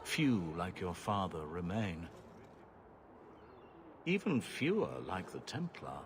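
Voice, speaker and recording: An actor playing a solemn, gentle older man in a video game, speaking in a measured, formal and reflective way. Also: low voice, big pitch swings, heavily acted. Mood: solemn, mournful. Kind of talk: dramatic video game acting. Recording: voice under loud game music.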